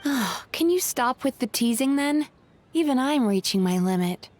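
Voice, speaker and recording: Weary, high-voiced gentle yokai woman. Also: expressive, moderate pace, a little hiss or hum. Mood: weary, exasperated. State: tired. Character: gentle, weary young-looking yokai woman, a hardworking therapist. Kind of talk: video game voice acting.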